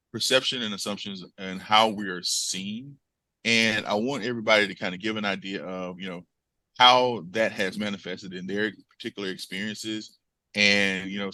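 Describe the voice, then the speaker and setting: A man hosts a radio panel, talking in a measured, steady way. His voice sits very low but has a bright, forceful edge. The sound is dry and close. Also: no room sound, low-quality recording. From Mississippi, US South. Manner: measured, with frequent fillers. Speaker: radio show moderator. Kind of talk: hosting a panel discussion.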